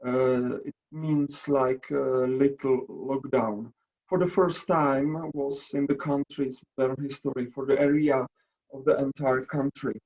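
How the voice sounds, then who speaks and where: A man from the Czech Republic with a Czech accent, presenting slides in a webinar in halting English full of pauses and restarts. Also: mid-range voice, steady tone, darkish tone, highs cut off. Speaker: webinar presenter, nursing educator. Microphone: video call.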